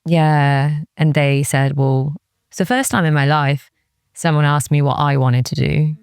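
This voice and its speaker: A woman from Wales talks candidly and seriously as an interview guest. Her voice is smooth with a creaky edge, recorded close and dry. She reflects conversationally, sprinkling in "like" and voicing her inner thoughts. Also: deep voice, fairly even intonation, a little slow, a little mellow, no room sound. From Cardiff, Wales. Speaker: interview guest, music industry executive.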